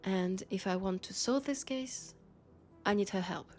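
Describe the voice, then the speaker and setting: A woman with a French accent playing a weary, guarded policewoman confiding quietly and hesitantly in an intimate sci-fi audio roleplay. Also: medium pitch, unhurried, soft background music, lightly acted. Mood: serious, resigned.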